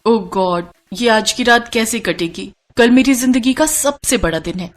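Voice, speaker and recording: A woman's voice: on the high side, a little slow, a little hiss or hum, close to an everyday voice. A woman voicing an anxious, ambitious young model in a cartoon story, dramatic and easily flustered. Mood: anxious, hopeful.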